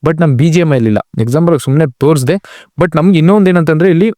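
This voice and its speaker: A man's voice: fairly high pitch, quick pace, warm tone, no echo, studio quality. A man giving casual game update commentary as a channel host, talking informally and directly to viewers with English words mixed in.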